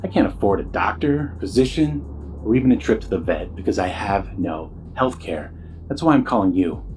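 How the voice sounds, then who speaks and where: An actor playing a weary, sardonic struggling musician who cannot sleep, in an audio drama. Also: male voice, lowish voice, animated, moderate pace, darkish tone, a little hiss or hum, natural voice. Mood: exasperated. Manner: sardonic, blunt, hesitant. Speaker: caller to radio show.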